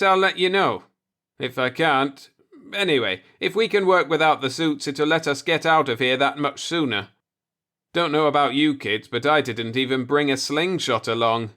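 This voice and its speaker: A man's voice: a little high, pushed and bright, voice pushed up. A man voicing a plucky, wry male spaceship mechanic with clipped, slangy talk in a sci-fi audiobook. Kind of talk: storybook dialogue in a sci-fi audiobook.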